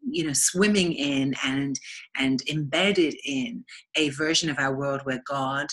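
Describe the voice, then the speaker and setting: A woman's voice: rumbling low, unhurried, fairly bright tone, breathy edge. A woman with an English accent speaking as a guest in an interview, earnest and reflective in a flowing manner. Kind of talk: spiritual interview talk.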